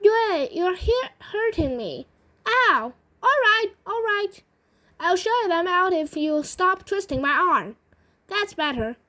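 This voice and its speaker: A woman's voice: high voice, animated, takes it slowly. A woman reading a storybook and playing a bossy, complaining old grandmother with indignant exclamations.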